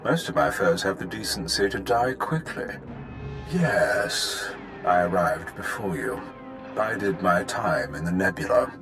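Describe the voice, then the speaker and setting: An actor playing an arrogant, menacing male Sith villain in a video game, taunting with measured contempt. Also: low voice, animated. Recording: music under the voice.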